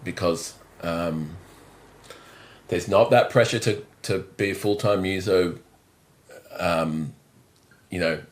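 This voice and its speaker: A man's voice: deep voice, takes it slowly, pauses often. A man in his thirties with an Australian accent, a drummer talking as a guest in a casual interview, rambling and self-deprecating with lots of restarts. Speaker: drummer and musical director, podcast guest. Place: indoors, on a couch.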